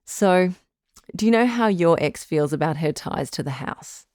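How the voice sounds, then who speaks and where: Phone-in advice host: patient, reassuring woman doctor, low-pitched, dry studio sound. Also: animated, a little mellow, no echo. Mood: calm, tactful. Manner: measured, tactful, reassuring. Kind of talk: audio drama phone-in advice.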